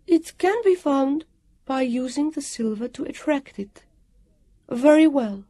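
A woman voicing a serene, otherworldly female guardian in an audiobook, soft and lilting with a trace of a foreign accent. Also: high-pitched, lively intonation, unhurried, dry recording, studio quality, pitched above the performer's own voice. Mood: declaring, resolute. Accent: foreign lilt. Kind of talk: audiobook character voice.

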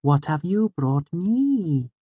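A man voicing a tiny, whimsical young girl in an audiobook, gentle and earnest, with a wondering, gravely precise way of talking. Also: fairly high pitch, sing-song, takes it slowly, no echo, highs cut off, voice pushed up, heavily acted. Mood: sweet wonder. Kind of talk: audiobook character voice.

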